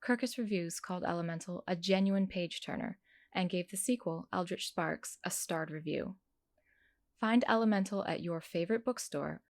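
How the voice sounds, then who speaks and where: A woman's voice: fairly low pitch, moderate pace, no echo, studio quality. A woman reading scripted promotional narration as an advert announcer.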